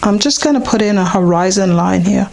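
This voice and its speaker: A woman with a hoarse, partly lost voice, casually talking a beginner through a painting step by step, unhurried and with many ums. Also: mid-range voice, a little mellow, slight background noise. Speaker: painting teacher.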